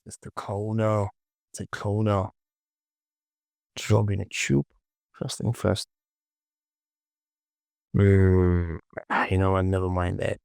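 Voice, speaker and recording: A man hosting a casual software tutorial, thinking aloud and muttering repetitively to himself as he works. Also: sits low, level tone, slow, vocal fry, pauses often, clean tone, no echo, studio quality. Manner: muttering, repetitive self-talk. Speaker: 3D modeling tutorial host.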